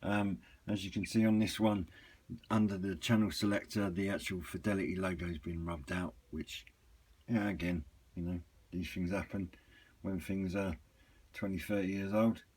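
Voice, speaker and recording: A man giving a casual, unscripted product walkthrough in a hesitant, rambling, matter-of-fact way, with a slightly husky voice. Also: sits low, fairly even intonation, moderate pace, darkish tone. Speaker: hobbyist reviewer and seller of used CB radios.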